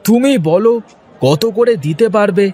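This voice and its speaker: Husky, lively cartoon fisherman, cheerful and boastful, as voiced by a man. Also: high-pitched, moderate pace, some chatter behind. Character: poor village fisherman turned eager trader. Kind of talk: Bengali cartoon voice acting.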